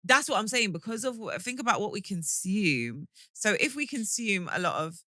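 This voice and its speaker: A woman's voice: medium pitch, very animated, moderate pace, sharp tone, no room sound, clean studio sound. A young woman from the UK, co-hosting a podcast and earnestly explaining her points in casual, slangy chat. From United Kingdom. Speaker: podcast co-host.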